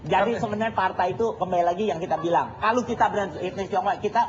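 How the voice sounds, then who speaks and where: A man's voice: high voice, very fast. A man speaking as a guest in a televised debate, rambling, with hesitant restarts. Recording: dull archive TV audio with faint hiss.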